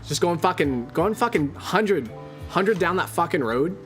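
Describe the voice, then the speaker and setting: A man's voice: high voice, animated, a little slow, fairly bright tone, soft background music, voice pushed up. A man putting on a Canadian accent as a rowdy, swearing truck-driving guy with a crude, boisterous drawl.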